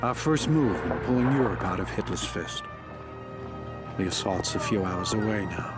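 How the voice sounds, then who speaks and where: An actor playing a weary, resolute American World War II sergeant, narrating a wartime journal in a solemn, measured way. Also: male voice, sits low, expressive. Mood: grave, determined. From North Dakota, United States. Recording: loud music bed under narration. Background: prominent music.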